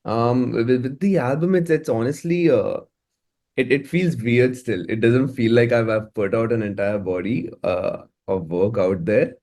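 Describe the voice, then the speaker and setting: A man with a medium-pitched voice speaks as a musician being interviewed. He is casual and reflective, often halting and repeating words as he works out his thoughts. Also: a little mellow. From India.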